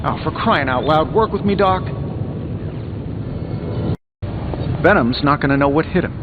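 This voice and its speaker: An actor voicing a witty, cocky armored superhero inventor in a video game, glib and sarcastic. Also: male voice, fairly high pitch, animated, fast, natural voice. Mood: playful banter. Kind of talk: video game dialogue acting. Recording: slightly dull game audio under music.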